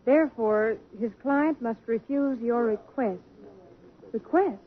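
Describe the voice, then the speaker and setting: An impulsive young society daughter bubbles along in a high voice. She is spirited and carefree. The audio is thin and tinny, like an old broadcast. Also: slow pace.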